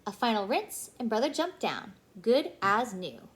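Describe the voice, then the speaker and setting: A woman reading a children's story aloud in an expressive, animated way, pausing for emphasis. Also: a little high.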